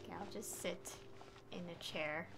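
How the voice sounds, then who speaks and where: A woman's voice: lowish voice, fairly even intonation, moderate pace. A woman streaming a game, giving casual commentary in a hesitant, rambling way that often trails off. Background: game music.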